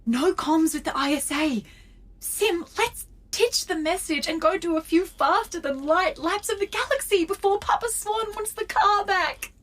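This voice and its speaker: An actress playing an excitable, flippant, dramatic starship co-captain in a sci-fi comedy audio drama. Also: female voice, extremely high pitch, unhurried. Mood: eager. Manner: theatrical, flippant.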